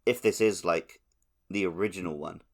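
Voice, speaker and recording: A man's voice: low-pitched, moderate pace. A man co-hosting a podcast, talking casually about video games. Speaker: podcast co-host.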